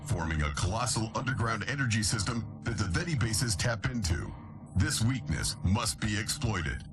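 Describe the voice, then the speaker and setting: An actor playing a composed male intelligence adviser in a strategy game, giving mission briefings in a measured, formal manner. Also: on the low side, close to an everyday voice.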